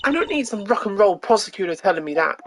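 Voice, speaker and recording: A woman voicing an eager, excitable young female detective in a video game, with emphatic exclamations. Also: medium pitch, fast talker, slight background noise, pitched above the performer's own voice, natural voice. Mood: irritated, eager.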